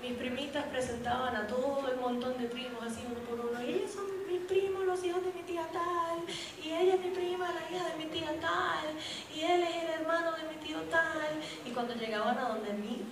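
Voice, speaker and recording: A young woman giving a lively, humorous church testimony and speaking directly to the congregation. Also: high-pitched, brisk. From raised in Puerto Rico. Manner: lively storytelling, addresses the audience directly, with humor. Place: church hall. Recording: echoey hall, distant mic, noisy.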